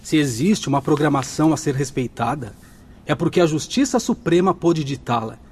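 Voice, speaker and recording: A man's voice: fairly high pitch, expressive, normal speed, a little mellow, husky. A man playing a courteous, assured spirit technician in a radio drama, speaking formally. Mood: firm, instructive.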